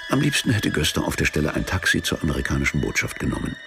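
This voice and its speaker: A male radio-drama narrator with a remarkably low voice and plenty of gravel. He reads literary prose in a measured deadpan, with music clearly heard behind him.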